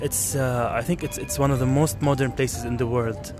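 A man from Kuwait who is being interviewed outdoors talks earnestly and thoughtfully, often pausing with fillers. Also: mid-range voice, steady tone, over music. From Kuwait, Lebanese family. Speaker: interview guest. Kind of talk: street interview. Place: outdoors at a city square monument.

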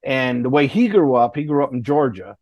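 A man's voice: medium pitch, lively intonation, a little fast, darkish tone, dry recording, muffled recording. A man in his late fifties, a guest talking candidly and plainly in a casual interview. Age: 50s. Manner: candid, plainspoken, laughs between hard stories.

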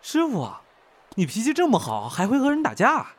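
A man's voice: extremely high pitch, wildly swooping pitch, normal speed, full character voice. An actor playing an eager, chummy young male manager in an audio drama, friendly and boisterous. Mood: indignant, chummy. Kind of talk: Chinese audio drama acting.

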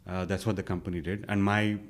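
Young male guest from India, deep voice, easygoing storyteller. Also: a little fast. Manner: relaxed, with many fillers and self-quotes. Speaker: interview guest. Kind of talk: casual conversational storytelling.

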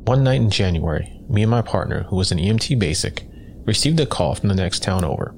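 A man with a low-pitched voice narrates a scary first-person story from a script. His delivery is measured and matter-of-fact. A faint layer of noise sits behind the voice. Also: expressive. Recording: clear background noise.

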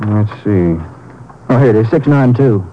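An actor playing an easygoing, helpful junior Texas Ranger in a 1950s radio drama, folksy and deferential. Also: male voice, fairly low pitch, normal speed, a little hiss or hum, lightly acted. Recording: muffled old radio recording with music.

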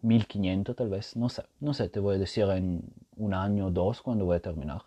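A man's voice: sits low, fairly even intonation, brisk, mellow, breathy edge, muffled recording. A man hosting a casual solo podcast, explaining his point patiently and often checking in with the listener, speaking Spanish as a learned language. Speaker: podcast host.

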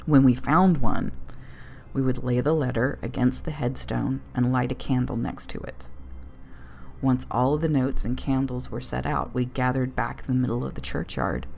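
A woman narrates a scripted tale in an exceptionally low voice. There is faint noise behind her reading. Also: steady tone, moderate pace, creaky, a little mellow. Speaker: storyteller.

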